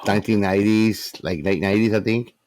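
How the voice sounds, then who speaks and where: A man's voice: fairly low pitch, steady tone, quick pace, a little mellow, dry recording. A man co-hosting a casual chat, chiming in with short, informal remarks. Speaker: podcast co-host.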